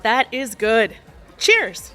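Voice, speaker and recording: A woman's voice: fairly high pitch, big pitch swings, drawn-out words, sharp tone, soft background music, full character voice. An actress playing a chatty, pushy, sly cocktail waitress in her thirties, breezy and overly familiar, in an audio drama. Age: 30s. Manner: breezy, familiar, insinuating, talkative.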